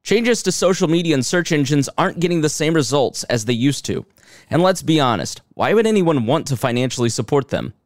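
A man's voice: on the high side, expressive, brisk, no echo, clean studio sound. A middle-aged man hosting a podcast, reading promos and announcements. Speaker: podcast host.

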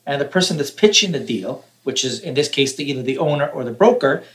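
A man's voice: medium pitch, expressive, fast talker. A man from Canada, a podcast guest, talking casually and repeating words as he explains.

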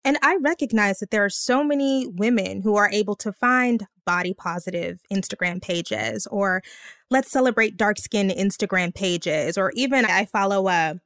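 A woman guest in an earnest conversation, speaking emphatically and lifting her pitch to stress her points. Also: moderate pace, a bit bright, no room sound, studio quality.

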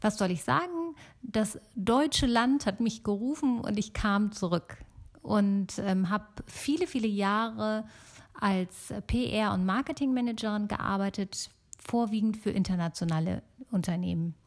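A woman's voice: medium pitch, measured pace. A middle-aged woman from Germany talking casually and alone as a podcast host.

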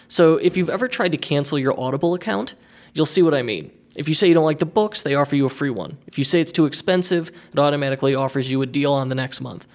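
An upbeat male podcast host with a somewhat high voice. He reads sponsor spots and chats with a guest in a lively, energetic way. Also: fast. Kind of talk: read ad spots and casual interview.

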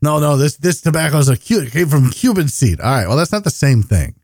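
A man's voice: fairly high pitch, lively intonation, quick pace, creaky, darkish tone, no room sound, studio quality. A man hosting a podcast in a casual conversational style, asking questions and often rephrasing them as he goes. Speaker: podcast host.

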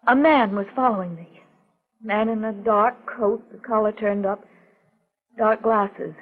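A woman's voice: mid-range voice, unhurried. An actress playing a frightened but composed woman, telling her story earnestly and hesitantly in a 1940s radio mystery. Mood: uneasy, recounting. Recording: muffled, tinny 1940s radio recording.

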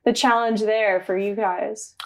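A woman's voice: mid-range voice, fairly even intonation, a little slow, gravelly, a little hiss or hum. A woman interviewer asking questions and giving frequent encouraging agreement.

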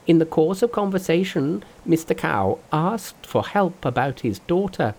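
A narrator voicing a boastful, fearless male monkey king in a storybook reading, loud and mocking. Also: medium pitch, very animated, unhurried, pitched above the performer's own voice.

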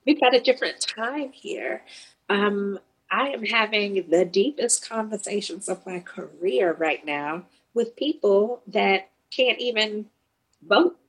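A woman's voice: mid-range voice, slow, breathy edge, low-quality recording. A woman who counsels grieving teens, giving a thoughtful, searching interview answer with restarts and fillers.

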